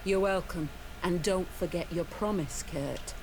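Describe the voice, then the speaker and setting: An actress voicing a principled, weary woman commander of a mercenary guard in a video game, speaking earnestly in measured, formal phrases. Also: on the low side, background noise. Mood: businesslike.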